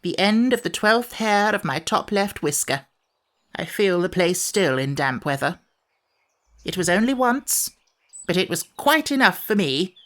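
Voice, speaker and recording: A woman's voice: lowish voice, expressive, measured pace, a bit bright. Grumpy ancient sand fairy, voiced by a woman. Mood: self-pitying. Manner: gloomy, peevish, reminiscing storyteller. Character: grumpy, ancient sand fairy creature, fussy and self-pitying. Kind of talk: children's audiobook character voice.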